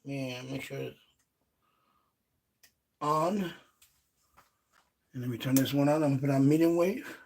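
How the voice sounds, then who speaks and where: A man chatting casually and haltingly on a hobby live stream, muttering as he handles his gear. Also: mid-range voice, creaky, a little mellow.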